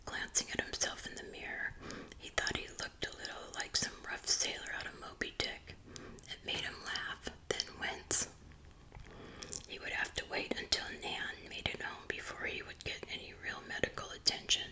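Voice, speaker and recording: A woman reading a story aloud in a breathy, unvoiced ASMR whisper. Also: moderate pace, rough recording. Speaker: audiobook reader.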